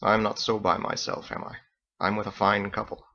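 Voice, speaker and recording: A man playing a wry, courteous, ageless sailor captain in an audiobook story, speaking in a measured, courtly way. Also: on the low side, flat delivery, sharp tone, dull audio. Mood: content.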